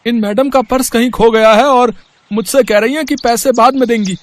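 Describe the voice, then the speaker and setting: A man doing a very high-pitched, argumentative driver. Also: steady tone, normal speed. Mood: indignant. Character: aggrieved auto driver demanding his fare, argumentative. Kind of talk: acted storybook dialogue.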